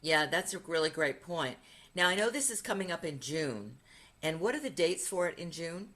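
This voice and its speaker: A woman's voice: lowish voice, lively intonation, moderate pace, fairly bright tone. A woman hosting a podcast interview. She is chatty and upbeat, and she laughs while she tells stories. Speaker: podcast host, retreat leader. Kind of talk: casual interview conversation.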